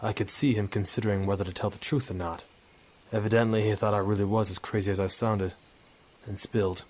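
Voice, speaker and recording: A man's voice: lowish voice, fairly even intonation, brisk, darkish tone, slight background noise, lightly acted. A man playing a hard-boiled, sardonic photographer turned sleuth in a 1950s noir radio drama, with wry, tough-talking delivery. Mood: wry narration.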